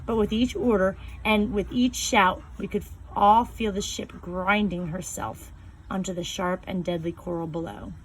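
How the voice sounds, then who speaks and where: A woman reading a first-person novel aloud in a calm, measured storytelling voice, slowing down on key phrases. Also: medium pitch, slight background noise, rough recording. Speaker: reader.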